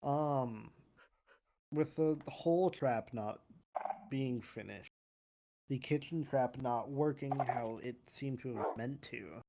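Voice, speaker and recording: A woman's voice: rumbling low, dark tone, slight background noise. A woman giving her opinion in an in-character roleplay discussion. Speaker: role-player in a court meeting.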